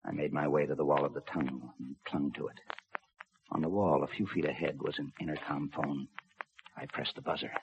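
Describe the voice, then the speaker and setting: A tough, steady police lieutenant in a 1950s radio crime drama. His voice is deep, his delivery clipped and commanding. The old recording is dull and clearly noisy. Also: brisk. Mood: grim narrating.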